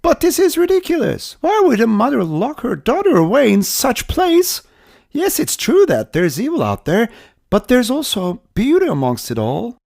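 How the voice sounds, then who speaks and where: A woman's voice: on the high side, wildly swooping pitch, moderate pace, creaky, a little mellow, no echo, studio quality, heavily acted. A woman voicing an earnest, brave young fairy-tale prince in a gallant storybook manner. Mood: indignant, persuasive.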